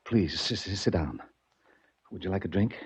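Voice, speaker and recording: A husky, frightened older man. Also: low-pitched, expressive, normal speed, exaggerated voice. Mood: nervous, afraid. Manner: hesitant, stammering, suspicious. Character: morbid, frightened older wealthy man, wary and weary. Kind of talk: 1940s radio drama acting. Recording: muffled 1940s radio recording.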